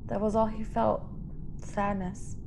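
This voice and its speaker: An actress playing a frightened young woman stowaway in a science fiction radio drama, halting and emotional. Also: mid-range voice, little pitch movement, creaky, background noise. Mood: wistful, subdued. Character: frightened young female stowaway, pleading, naive and wistful. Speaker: actor in a radio play.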